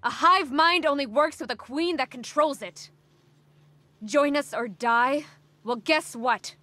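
A woman's voice: high voice, takes it slowly, sharp tone, dry recording, exaggerated voice. An actress playing a tough, guarded young female agent in a horror video game, with a terse, sardonic manner. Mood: defiant.

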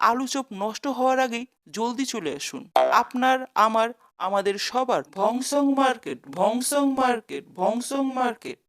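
A woman's voice: on the high side, animated, normal speed, fairly bright tone. A woman voicing a bombastic, comic market promoter in a cartoon parody of a TV report. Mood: urgent selling.

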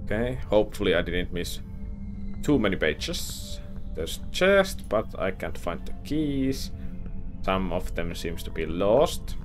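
A young man talks casually to himself as he plays, asking questions and reading things out. His voice sits fairly low but bounces around a lot. Game music plays clearly behind him. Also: slow pace. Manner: thinks aloud, reads in-game notes aloud with lively inflection. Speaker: gaming streamer. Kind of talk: casual let's play commentary. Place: home room with facecam.

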